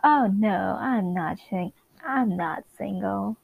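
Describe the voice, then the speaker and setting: A woman's voice: medium pitch, lively intonation, slow, creaky, slightly rough, dry recording, phone-quality sound, full character voice. A woman playing a polite, evasive young sitcom newlywed wife with a prim, earnest way of talking. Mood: defensive.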